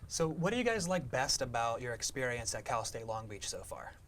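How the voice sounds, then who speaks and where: A man's voice: mid-range voice, very animated, brisk, a bit bright, slight background noise. Young male student host. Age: young adult. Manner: friendly scripted questions. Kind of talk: student interview hosting.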